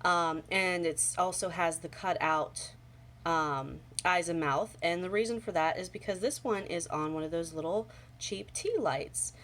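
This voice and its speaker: A young woman, a little hoarse from a cold, walks through folding steps in a casual, soft-spoken way. Her voice sits on the low side, and she fills pauses with ums. Faint home ambience stays in the background. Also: a little hiss or hum. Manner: soft-spoken, many ums, explains step by step. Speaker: origami tutorial host. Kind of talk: casual how-to demonstration. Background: faint home sounds, toddler playing.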